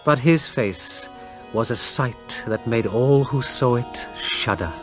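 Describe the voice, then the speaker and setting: A man narrating a gothic horror story in a breathy, husky voice, with grave, suspenseful, deliberate phrasing. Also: medium pitch, over music. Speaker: audiobook narrator.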